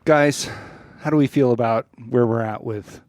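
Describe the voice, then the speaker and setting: A man's voice: medium pitch, normal speed, darkish tone, dry recording, studio quality. A man in casual conversation as a podcast co-host, reflective and feeling his way toward his phrasing. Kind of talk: casual chat.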